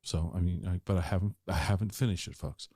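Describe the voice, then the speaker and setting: A man's voice: deep voice, steady tone, fast talker, mellow, slightly rough, no echo, studio quality. A man hosting a podcast interview, chatting casually and enthusiastically. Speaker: podcast host.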